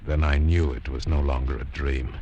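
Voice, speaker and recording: A man's voice: extremely low pitch, steady tone, moderate pace, dark tone, husky, dull audio, full character voice. An actor playing a determined, dry American adventurer telling his own tale in a 1940s radio drama. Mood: tense narration. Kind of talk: 1940s radio acting and first-person narration.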